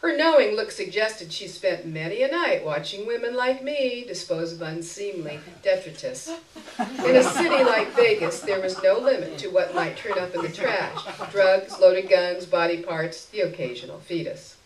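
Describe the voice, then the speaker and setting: A woman's voice: mid-range voice, lively intonation, moderate pace, crowd noise. Woman reading fiction aloud in a roomy space. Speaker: reader of a short story. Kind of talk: expressive live literary reading, first-person narration. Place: indoor stage before an audience. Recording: roomy live stage recording, distant mic.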